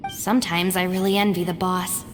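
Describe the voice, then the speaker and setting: A woman's voice: fairly low pitch, level tone, brisk, lightly acted. A woman playing a concerned, sympathetic young companion in a video game, a little wistful. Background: clear background music.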